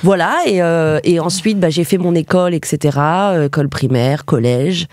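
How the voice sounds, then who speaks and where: A woman in her twenties from Paris, a comedian guest chatting in a podcast interview, telling stories in a relaxed way with many fillers. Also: low-pitched, moderate pace, dry recording, clean studio sound. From Paris, France. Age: 20s.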